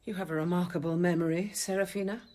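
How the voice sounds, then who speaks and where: Convent apothecary nun for radio drama, female actor: lowish voice, guarded, formal, conscientious. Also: fairly even intonation, fast talker, darkish tone, lightly acted. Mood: stern, guarded. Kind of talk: radio drama acting and narration.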